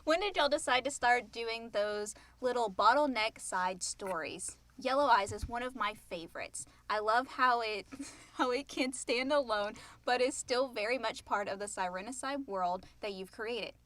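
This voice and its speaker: Woman with a highish voice, podcast cohost, reads questions and chats along casually. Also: moderate pace, fairly bright tone, no room sound. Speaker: podcast co-host. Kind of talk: casual chat, reading listener questions.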